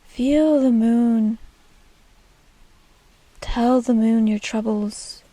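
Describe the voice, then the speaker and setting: A woman leading a guided meditation in a soft, breathy, half-whispered and soothing delivery. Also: a little high, fairly even intonation, very slow, no room sound, low-quality recording.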